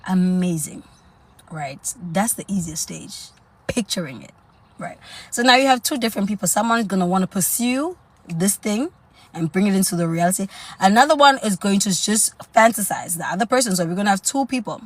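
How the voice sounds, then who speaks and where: A woman's voice: mid-range voice, lively intonation, brisk. A young woman from South Africa with a South African accent, chatting sassily on a live stream and calling her viewers honey and girl. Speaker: dating lifestyle live streamer. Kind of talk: casual live stream chat.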